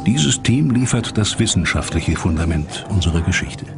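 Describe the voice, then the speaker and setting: A man's voice: deep voice, lively intonation, normal speed, creaky, music in the background. A man narrating a dramatic documentary from a script.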